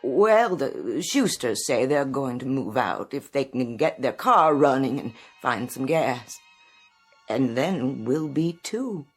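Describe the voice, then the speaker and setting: An actress playing a kindly, anxious woman in a radio drama, speaking haltingly and repeating her phrases. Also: very deep voice, expressive, husky, faint music behind, full character voice. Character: kindly, anxious woman tending a sick younger neighbour.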